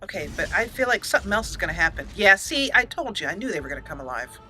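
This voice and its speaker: Bright-voiced woman narrating her gameplay. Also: mid-range voice, sing-song, fast. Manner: chatty, giggly, self-mocking sarcasm. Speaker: let's play host. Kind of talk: casual gameplay commentary. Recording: faint game music behind. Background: game music.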